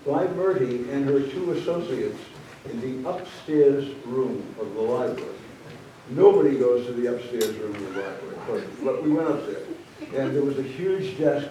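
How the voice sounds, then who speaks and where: A man telling a folksy story and moderating a town candidates night, with halting restarts. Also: medium pitch, mellow, slight background noise. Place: town meeting hall. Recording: very echoey hall, distant mic.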